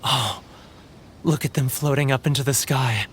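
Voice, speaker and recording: An actor playing a theatrical, guilt-ridden and homesick foreign merchant in a video game. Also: male voice, mid-range voice, moderate pace, fairly bright tone, a little hiss or hum, lightly acted. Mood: nostalgic. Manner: dramatic, wistful, full of sighs. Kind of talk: video game character acting.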